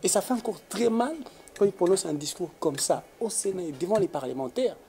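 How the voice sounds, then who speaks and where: An indignant guest from the Congo speaks. The voice is dark and mellow and stumbles over frequent hesitations. Faint ambient noise sits behind the voice. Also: medium pitch, lively intonation, fairly quick. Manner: indignant, emphatic, many hesitations. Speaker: political commentator guest. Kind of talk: interview answers.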